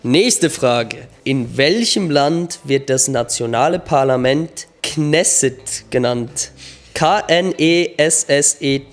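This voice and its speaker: A man's voice: medium pitch, lively intonation, slow pace. A man hosting a quiz, reading out questions with casual banter in between. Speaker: quiz host.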